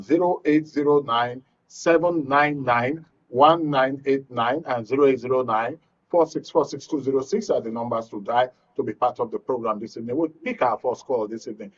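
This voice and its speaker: A man's voice: medium pitch, moderate pace. Nigerian male radio host, distant dull sound. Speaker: radio talk show host. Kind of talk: live phone-in hosting.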